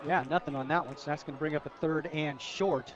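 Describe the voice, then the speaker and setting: A man's voice: a little high, steady tone, fairly quick, crowd noise. An American man giving conversational color commentary on a live radio football broadcast. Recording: dull lo-fi outdoor radio broadcast.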